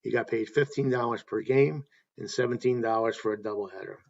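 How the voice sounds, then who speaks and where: A man hosting a tribute talk, reading anecdotes in a halting way and correcting himself as he goes. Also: mid-range voice, fairly even intonation, dull audio. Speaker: host paying tribute to a stadium announcer.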